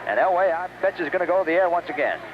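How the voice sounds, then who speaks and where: Male American play-by-play announcer, high voice, live football radio call in clipped phrases, dull old broadcast sound. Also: lively intonation, rapid-fire. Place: outdoors at a stadium. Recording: dull, noisy 1970s radio recording.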